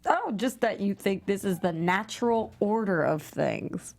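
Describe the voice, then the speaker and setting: A woman's voice: medium pitch, lively intonation, unhurried, gravelly, darkish tone. A woman reading the part of a pushy, sardonic documentary interviewer at a table read. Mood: probing.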